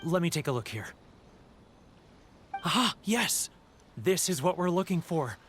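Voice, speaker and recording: A man playing an earnest, animated investigator in a video game. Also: a little high, a little slow, slight background noise. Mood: triumphant.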